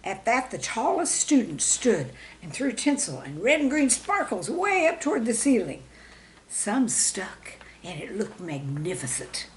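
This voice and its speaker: A woman reading a scripted children's story with expressive, dramatic storybook emphasis. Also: a little high, measured pace, slight background noise. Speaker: storyteller and author reading own stories.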